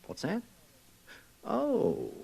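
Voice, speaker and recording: A man's voice: high voice, huge pitch swings, extremely slow, gravelly, a little mellow, breathy edge, full character voice. An actor playing a pompous, stuffy male director in a 1950s radio drama, speaking formally and with bluster. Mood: stern. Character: pompous male director, stuffy superior scolding his underling.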